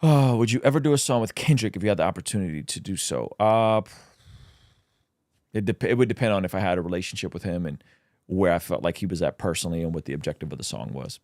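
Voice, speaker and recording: A man's voice: deep voice, fast talker, a little mellow, dry recording, clean studio sound. A man, a native Russian speaker, hosting a casual livestream where he reads out chat questions and answers them with plenty of ums.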